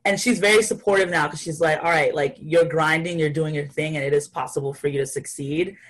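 A woman's voice: sits low, a little fast, poor audio. A young woman from Haiti, a guest artist talking casually and thoughtfully in an interview, with many fillers.

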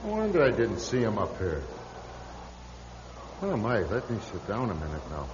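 A weary old priest, echoing, swooping pitch. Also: male voice, wildly swooping pitch, moderate pace. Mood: weary muttering. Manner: muttering to himself, exclaiming, evasive. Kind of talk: old-time radio drama acting. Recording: dull, noisy radio recording in echoing hall.

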